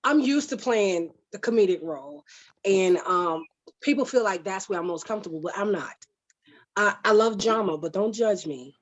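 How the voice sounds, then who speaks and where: A woman's voice: mid-range voice, animated, normal speed, dull audio. A woman cast member talking in a panel interview, emphatic and repeating phrases to drive points home. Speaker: stage play cast member. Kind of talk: animated panel interview chat.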